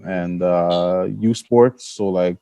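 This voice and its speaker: Low-voiced male coach, dry sound. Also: steady tone, takes it slowly, dark tone, clear, resonant tone, no echo. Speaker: college basketball coach on a podcast panel. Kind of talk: casual chat.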